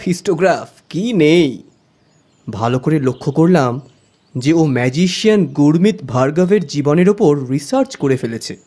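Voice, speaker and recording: A man's voice: fairly high pitch, darkish tone. A man narrating an audio story expressively in the first person, as the detective's friend. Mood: wry.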